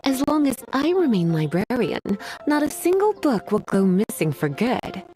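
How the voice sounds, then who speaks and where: A woman's voice: fairly high pitch, very animated, normal speed, warm tone. A woman voicing a sultry, teasing librarian with a menacing streak in an anime game, speaking in a coy, languid manner. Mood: coolly menacing. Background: faint music.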